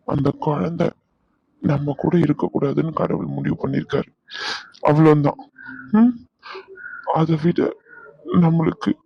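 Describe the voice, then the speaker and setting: A man's voice: fairly high pitch, creaky, slightly rough, dry recording, dull audio, full character voice. A man playing an anguished, tender husband in a domestic drama, pleading and soothing his wife with endearments. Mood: tender, pained. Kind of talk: emotional domestic audio drama.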